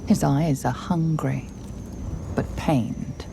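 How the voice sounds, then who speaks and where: A woman voicing a grave, mysterious storyteller, narrating a dark fantasy video game in a measured, ominous way. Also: low-pitched, steady tone, vocal fry, noisy background, exaggerated voice. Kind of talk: scripted second-person narration. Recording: game music behind the voice.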